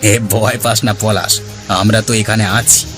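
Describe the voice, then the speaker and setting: A man's voice: on the low side, flat delivery, measured pace, a bit bright, faint music behind. A man voicing a brave, curious village youngster in a cartoon, confident and reassuring. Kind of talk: cartoon character dialogue.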